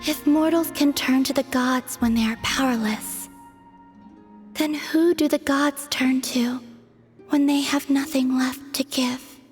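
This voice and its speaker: Young moon goddess, high soft voice, breathy, slow, solemn, enigmatic, video game, music underneath. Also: female voice, fairly even intonation. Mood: sorrowful, resigned. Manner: slow, poetic, measured. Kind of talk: English video game voice acting. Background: background music.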